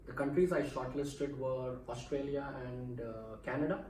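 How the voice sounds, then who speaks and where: A man from Mumbai, a guest explaining things in a measured way with frequent pauses. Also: mid-range voice, darkish tone. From India, Mumbai. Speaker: interview guest. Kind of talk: casual interview. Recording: roomy echo, mic at a distance, faint background hum.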